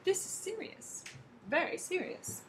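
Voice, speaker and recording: A woman's voice: on the high side, expressive, moderate pace. Lady doing a worried little bush critter guy, earnest and jumpy, faint hum behind. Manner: fretful, earnest, exclaiming. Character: small worried male bush creature, earnest.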